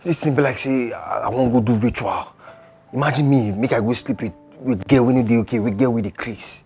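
An actor playing a harried young husband with a Nigerian accent, hesitant and repeating himself in a film drama. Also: male voice, fairly low pitch, big pitch swings, moderate pace, a bit bright, faint music behind. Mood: frustrated. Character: harried, placating young husband under family pressure.

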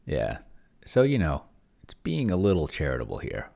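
Very deep, gravelly man muttering while typing. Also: wildly swooping pitch, normal speed, mellow. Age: middle-aged. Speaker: solo roleplaying player and show host. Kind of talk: casual live play commentary, thinking aloud. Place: indoor room at a computer. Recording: keyboard typing and clicking noise.

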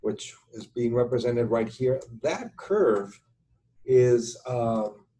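Male instructor, middle-aged, unhurried and soft-edged, informal screen tutorial with deliberate, halting delivery. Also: mid-range voice, expressive. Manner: halting, deliberate, thinking aloud while writing. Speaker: structural engineering instructor. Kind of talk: informal tutorial explanation over screen share. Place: indoors at a computer, webcam.

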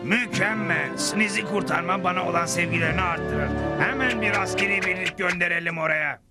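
A man's voice: sits high, brisk, natural voice. A man voicing a vain, dim-witted American president in a dubbed cartoon, pompous in manner, with music behind. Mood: self-satisfied.

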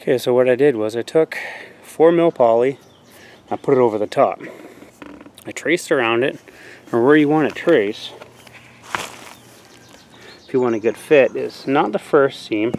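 A man's voice: medium pitch, moderate pace, pauses often, a little mellow, slight background noise. A young man from Canada with a stuffy cold voice, hosting a casual do-it-yourself vlog and explaining each step to the camera from an outdoor driveway. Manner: chatty, explains steps to the camera. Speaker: fishing channel host. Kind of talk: casual DIY how-to vlog. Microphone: camera mic, talking to the lens up close.

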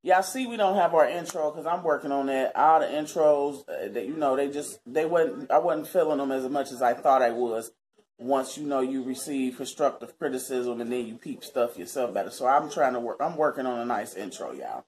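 A woman's voice: extremely low pitch, normal speed, darkish tone, rough recording. A woman in her thirties from Cincinnati, talking earnestly and casually to her viewers from her living room. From Cincinnati, Ohio, US. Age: 30s. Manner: chatty, earnest, keeps addressing viewers as y'all. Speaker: vlogger. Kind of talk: casual talk to camera.

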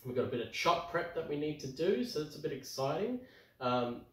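A man in his thirties with an Australian accent, slightly croaky from illness, chatting casually through a cooking demonstration in his home kitchen with plenty of ums. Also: fairly high pitch, big pitch swings, a little fast. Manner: chatty, upbeat, lots of ums. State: croaky from tonsillitis. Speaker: home cooking show host. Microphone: camera across the kitchen. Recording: roomy kitchen sound, far from mic.

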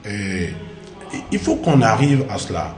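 Male interview guest, forties, Ivorian accent. Swinging, animated intonation with hesitant restarts; echoey room sound. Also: lowish voice, a little slow. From Ivory Coast. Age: 40s. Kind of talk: studio interview conversation. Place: small indoor TV studio. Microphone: shared desk microphone. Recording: roomy, echoey studio sound.

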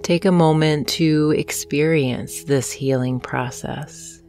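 A woman narrating a guided hypnosis meditation in a soothing, gentle manner, leaving long pauses between phrases. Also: deep voice, steady tone. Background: soft meditation music with singing bowls.

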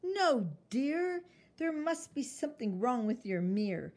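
A woman's voice: high voice, big pitch swings, unhurried, darkish tone, full character voice. A woman voicing a kindly, good-humoured mother in a children's storybook, speaking in a reassuring way. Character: gentle, good-humoured, indulgent mother of a little girl.

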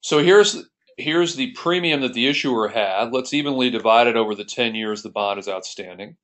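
A man's voice: medium pitch, moderate pace, fairly bright tone, low-quality recording. An American man giving an explanatory screencast lesson as an accounting instructor, explaining methodically and step by step.

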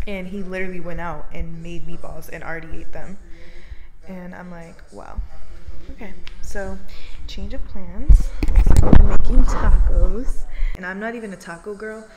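A woman's voice: lowish voice, very noisy. A young woman chatting casually to her viewers in a day-in-my-life vlog, rambling through asides. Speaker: vlogger.

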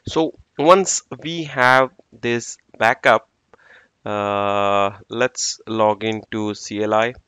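Low, smooth-voiced man walking through a tech tutorial. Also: drawn-out words, bright, forceful tone, no echo. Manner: talks through steps as he works, with fillers. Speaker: network engineer instructor. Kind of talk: screen-recorded tech tutorial. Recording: dull dry lo-fi screen recording.

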